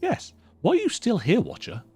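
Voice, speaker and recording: A man's voice: normal speed, breathy edge. A man casually reacting to a game as he plays, musing aloud in a chatty way. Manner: chatty, musing aloud, wildly animated pitch. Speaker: streamer playing a game.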